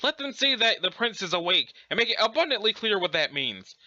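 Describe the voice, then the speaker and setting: A man voicing a chatty, scholarly, excitable alien troll with an affected British accent and a pompous, wordy manner. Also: high voice, brisk, dry recording, dull audio, exaggerated voice. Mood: stirring, jaunty. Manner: pompous, wordy, effusively enthusiastic. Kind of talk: theatrical character reading of a webcomic.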